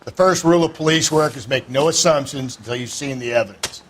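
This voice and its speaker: Male actor for a middle-aged American homicide cop, hardboiled game drama, gruff, condescending and weary, mid-range pitch. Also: a little fast, lightly acted. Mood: brisk, dry. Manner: terse, condescending, world-weary. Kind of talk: hardboiled 1940s detective game acting.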